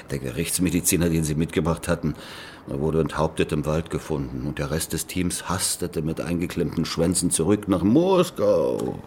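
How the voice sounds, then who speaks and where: Very deep, ponderous Soviet official. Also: male voice, expressive, a little slow, darkish tone, slight background noise, exaggerated voice. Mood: scornful, grim. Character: bureaucratic Soviet regional official, wry, grimly resigned. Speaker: actor in a radio play. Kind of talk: German radio drama acting.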